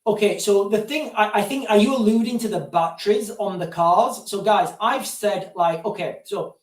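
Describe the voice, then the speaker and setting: A man's voice: sits high, normal speed. A man hosting a live stream, chatting casually and rambling off on tangents while calling his viewers "guys". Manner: digressive, keeps addressing viewers as guys. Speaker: live-stream host answering viewer questions. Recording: roomy sound, distant from mic.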